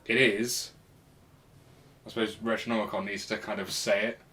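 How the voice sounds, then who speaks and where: A man's voice: lowish voice, level tone, moderate pace, sharp tone, a little hiss or hum. A man hosting a podcast in casual, rambling, jokey chat with a friend. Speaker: podcast host. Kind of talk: casual chat about retro games.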